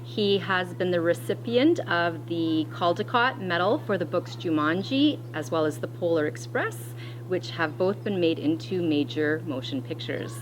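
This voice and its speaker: A woman teacher reading a picture book aloud to children in an expressive, deliberate way, pausing to explain as she goes. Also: fairly low pitch, slight background noise, rough recording.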